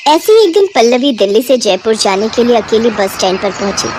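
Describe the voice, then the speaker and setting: A woman with a high voice narrates a scripted moral tale as a storyteller. There is clear noise in the background of the recording. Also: sing-song, brisk.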